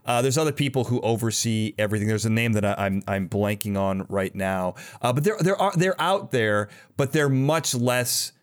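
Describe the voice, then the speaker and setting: A man's voice: on the low side, moderate pace, few pauses, clear, resonant tone. A man hosting a podcast, talking casually and with enthusiasm in an interview conversation. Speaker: podcast host.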